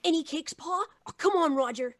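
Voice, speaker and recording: A woman playing a brash, excitable young American boy in an old-time radio drama. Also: very high-pitched, fairly quick. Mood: eager, cheeky.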